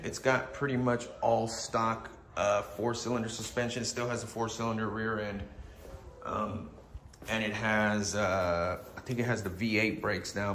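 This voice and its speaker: A man's voice: lowish voice, fairly bright tone, reverberant, a little hiss or hum. A man losing his voice to a cold, with a stuffy nose, talking casually about a car in his garage with lots of ums. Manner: rambling, with many ums and uhs. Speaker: car builder. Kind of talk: casual walkaround narration.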